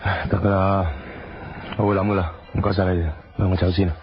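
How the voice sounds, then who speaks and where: Casting: man to play deep-voiced, downcast young Hong Kong taxi driver, radio drama, understated and weary; slightly muffled sound. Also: level tone, quick pace, lightly acted. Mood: weary, evasive. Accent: Hong Kong Cantonese. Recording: dull lo-fi radio audio with music.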